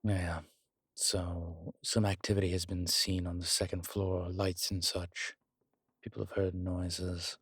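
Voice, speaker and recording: A man playing a long-lived demonology professor in an audio roleplay, calm, protective and gently wry, speaking in a measured, deliberate way. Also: low-pitched, husky, no room sound, studio quality, full character voice. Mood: hushed, thoughtful. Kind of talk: slow intimate audio roleplay acting.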